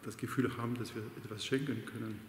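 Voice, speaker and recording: A man's voice: mid-range voice, fairly even intonation, fast, a little hiss or hum. A middle-aged man from France speaking with a French accent, a choir director giving hesitant interview answers with many fillers. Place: large church with organ, indoors. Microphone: unseen mic, framed chest-up. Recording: echoey church with long reverb.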